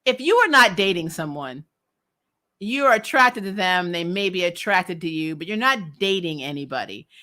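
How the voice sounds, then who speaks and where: A woman's voice: on the low side, lively intonation, normal speed, sharp tone. A woman giving a casual, opinionated recap to livestream viewers, speaking bluntly with swearing and often laughing as she talks. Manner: laughs often while talking. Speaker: host of a comics TV recap show.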